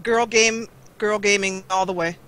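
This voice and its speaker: A woman's voice: mid-range voice, fairly even intonation, measured pace, a bit bright. A woman chatting casually and playfully as a gaming guest on an online call. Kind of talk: casual chat.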